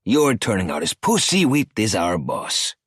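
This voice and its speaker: A sneering, arrogant cartel boss spits taunts in a husky, swinging voice, as a male narrator puts him on. The delivery is close and dry, with no room sound. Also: lowish voice, wildly swooping pitch, measured pace, dry recording, voice pushed up, heavily acted.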